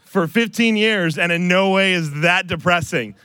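A man's voice: high voice, moderate pace, sharp tone, smooth, no echo. An American man co-hosting a live podcast, bantering casually with sarcastic, self-deprecating jokes and rambling asides. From Ohio, United States. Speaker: podcast co-host. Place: on stage before a live audience. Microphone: close stage microphone.

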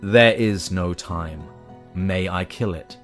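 Relentless burning angel voiced by a man: slow, firm, even, unhurried. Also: low voice, faint music behind.

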